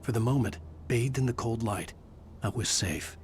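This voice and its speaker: A man narrating a horror video game in a measured, brooding voice as the writer lead. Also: mid-range voice, background noise.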